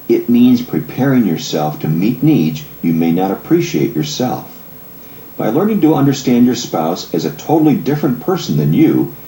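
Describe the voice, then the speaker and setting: Deep-voiced man narrating in a roomy space. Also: mellow. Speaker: marriage counselor and author narrating. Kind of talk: audiobook self-help narration, read from a script. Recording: roomy echo, faint background noise.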